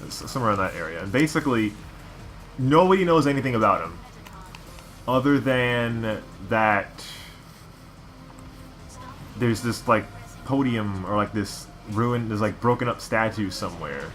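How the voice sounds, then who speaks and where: A man's voice: fairly low pitch, animated, measured pace, long pauses, a bit bright, faint music behind. A man giving casual game commentary, chatty and rambling as he explains things. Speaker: let's play co-commentator. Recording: noticeable background noise.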